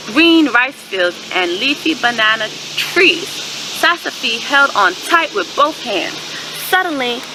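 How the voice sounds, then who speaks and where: Animated woman reading to children, higher-pitched. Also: noisy background. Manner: teacherly, asks listeners questions and pauses to affirm answers. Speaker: storyteller and host of a children's read-aloud channel. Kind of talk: expressive children's story read-aloud.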